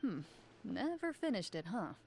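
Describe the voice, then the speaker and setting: An actress voicing a composed, stern but kind female sword-wielding hunter in a video game, speaking in a measured, formal way. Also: medium pitch, very animated, gravelly, a little mellow, a little hiss or hum. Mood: calm, encouraging. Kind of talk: English video game dub acting.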